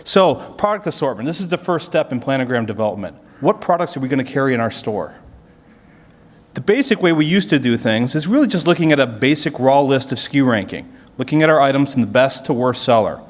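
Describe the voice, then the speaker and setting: A man's voice: mid-range voice, lively intonation, quick pace. A man giving an explanatory business conference presentation, posing questions and then answering them as a corporate presenter. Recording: reverberant hall sound.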